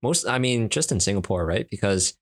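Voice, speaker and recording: Easygoing Canadian man, low voice. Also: animated, brisk, slightly rough. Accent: Canadian English. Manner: easygoing, teasing, many restarts. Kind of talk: casual conversation.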